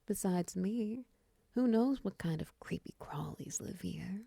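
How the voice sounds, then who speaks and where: Woman acting as a gentle spider monster lady, slow breathy near-whisper, kinda teasing, close roleplay audio. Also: lowish voice, dry recording, exaggerated voice. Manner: gentle, teasing, slow murmurs with soft chuckles. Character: friendly teasing half-spider monster woman, nurturing and playful. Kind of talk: intimate audio roleplay, soft-spoken to the listener.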